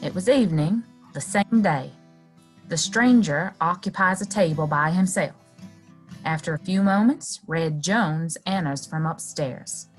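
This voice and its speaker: A woman's voice: lowish voice, a little slow. A woman reads out stage directions as the narrator of an audio drama. It is scripted narration with some guitar music. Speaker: narrator reading scene directions.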